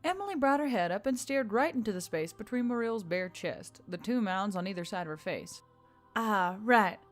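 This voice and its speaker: A woman's voice: mid-range voice, expressive, a little fast. A woman reading scripted fiction as a story narrator. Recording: background music under the voice.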